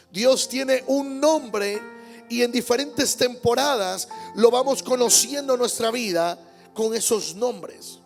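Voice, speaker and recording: A man's voice: very high-pitched, creaky. A male pastor preaching a Sunday sermon in church, emphatic, repeating key words and calling out amens. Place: church service.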